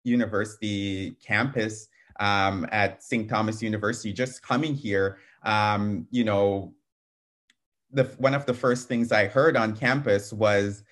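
A man's voice: on the low side, fairly even intonation, a little slow, fairly bright tone. A young man on a video call with a headset mic, speaking earnestly as a panel speaker, with frequent ums. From Canada. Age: young adult. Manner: earnest, fluent with many ums. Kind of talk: webinar talk. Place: small room at home.

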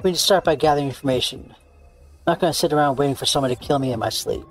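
A man's voice: fairly high pitch, very fast, natural voice. A man voicing a cocky, bossy young woman tracker, boastful and blunt, reading visual novel game dialogue. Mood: businesslike. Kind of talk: character read-aloud of game dialogue. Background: background music.

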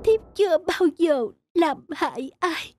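A woman voicing a gentle, devoted, sorrowful young fox-spirit wife in a cartoon fairy tale. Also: very high-pitched, animated, slow, soft background music, heavily acted.

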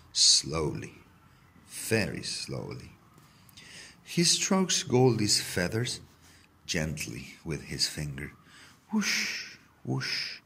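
A man reading a children's story aloud in the first person, with deliberate, dramatic phrasing. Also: low-pitched, a bit bright, slightly rough. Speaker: story reader.

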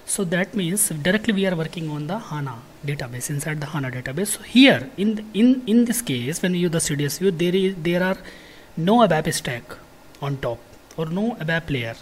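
A software trainer giving an informal technical lecture, explaining in a halting, repetitive way with frequent check words. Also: medium pitch, expressive, slightly rough.

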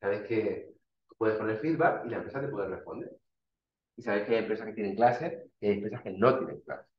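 A man's voice: fairly low pitch, expressive, moderate pace. A male university lecturer, explaining slides informally with everyday examples and frequent restarts. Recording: dull, roomy lecture recording.

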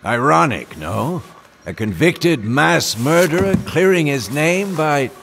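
An actor playing a calculating commander in a video game cutscene, speaking in a measured, formal, quietly menacing way. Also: male voice, a little high, big pitch swings, vocal fry, noisy background, heavily acted. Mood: sardonic. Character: calculating male commander offering veiled threats and deals.

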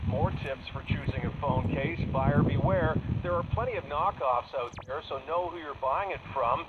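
Male consumer reporter, fairly high voice, scripted news delivery, muffled radio sound, loud noise. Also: moderate pace. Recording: noisy, dull shortwave radio reception.

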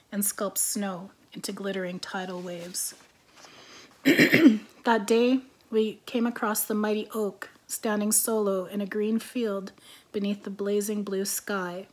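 Woman, forties, French first language, reading own lyric essays, calm and measured, soft breathy phrase ends, mid pitch, webcam at home. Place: living room at home. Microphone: laptop camera, webcam framing.

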